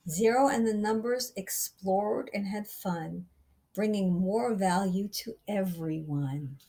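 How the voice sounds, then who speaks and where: A woman reading a picture book aloud as a storyteller, with expressive, deliberate and dramatic phrasing for young listeners. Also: mid-range voice. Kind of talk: expressive children's read-aloud narration.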